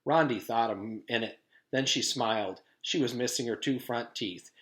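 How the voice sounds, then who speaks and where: A man reading a children's chapter book aloud as a teacher does for students, in an animated storytelling style. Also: medium pitch, normal speed.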